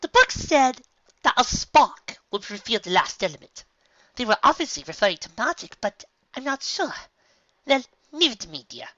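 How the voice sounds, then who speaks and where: A woman's voice: on the high side, sing-song, normal speed, pushed and bright, no room sound, pitched above the performer's own voice. A woman voicing a refined, fussy female unicorn with a posh, theatrical manner. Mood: anxious. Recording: dull lo-fi recording.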